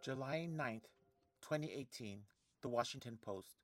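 An actor playing a sober newspaper report reader, delivering scripted news in an audio play. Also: male voice, mid-range voice, measured pace, dry recording, faint music behind, lightly acted.

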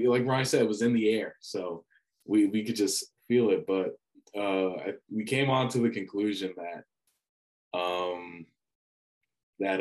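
A young man, a student sports broadcaster, telling a story in casual conversation, animated and full of restarts and fillers. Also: fairly low pitch, rough recording. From Dominican family background. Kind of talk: casual reflective chat.

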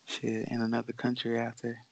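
Young woman, from the Bahamas, easygoing casual chat, gravelly fry, dry close sound. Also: rumbling low, completely flat delivery, brisk, dry recording, poor audio. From Bahamas, raised in Florida. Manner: laid-back, easygoing, laughs often. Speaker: guest, professional basketball player.

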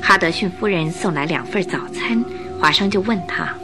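A woman narrating a scripted radio drama in an expressive storytelling manner. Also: on the low side, normal speed, over music.

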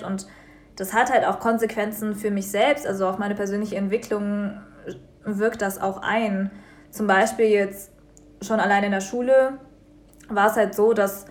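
A young woman from Germany co-hosting a radio talk show, telling everyday stories in a lively, casual way and acting out the people in them. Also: medium pitch. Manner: lively storytelling, acts out quoted people.

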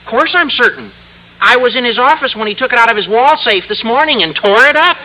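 An actor playing an eager, scheming high school boy with a squeaky adolescent voice in an old radio comedy. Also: lively intonation, fast talker, pushed and bright, heavily acted, poor audio. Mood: earnest, defensive. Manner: earnest, wheedling, self-justifying. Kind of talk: 1950s radio comedy acting. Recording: old radio broadcast recording.

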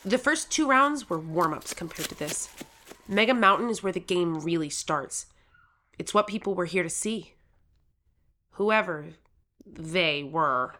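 A woman's voice: medium pitch, bright, forceful tone. A woman playing an earnest, determined thirteen-year-old contestant who narrates their own story in an audio drama. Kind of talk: scripted first-person audio drama narration.

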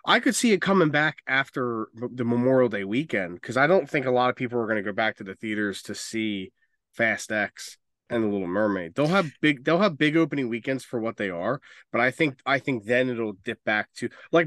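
Male podcast co-host, mid-pitched and closely recorded, opinionated and loose-tongued in casual conversation. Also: a bit bright, dry recording, studio quality. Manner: rambling, opinionated, sweary. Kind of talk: casual chat about movies and video games.

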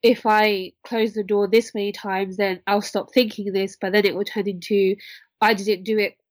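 A young woman explaining earnestly and conversationally as a podcast guest, often softening her phrases as she goes. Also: mid-range voice, little pitch movement, moderate pace, no echo. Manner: earnest, explanatory, hedging with "sort of". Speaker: podcast guest, mental health worker.

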